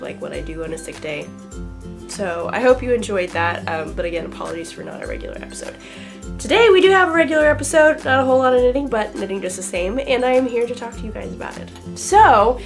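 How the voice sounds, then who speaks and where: A young woman hosting a knitting podcast, chatting casually with lots of ums and likes, still congested from a cold. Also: medium pitch. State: congested, getting over a cold. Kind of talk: casual chat to camera.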